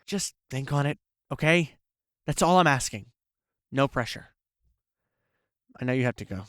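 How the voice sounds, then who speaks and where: A man acting a remorseful, lovesick ex-boyfriend in an audio drama, speaking in an earnest, halting, pleading way. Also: mid-range voice, lively intonation, fairly bright tone, no room sound, close to an everyday voice. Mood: earnest, hopeful.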